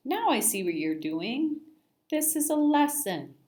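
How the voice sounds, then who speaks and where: Woman as an upset young storybook boy, raised pitch. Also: very animated, a little slow, a little mellow. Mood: earnest. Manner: plaintive, exclaiming.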